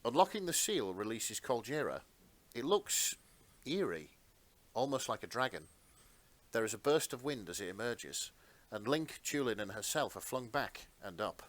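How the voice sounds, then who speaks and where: A man's voice: medium pitch, very animated, moderate pace, a bit bright. A man narrating fan fiction as a podcast co-host in a dramatic storytelling style.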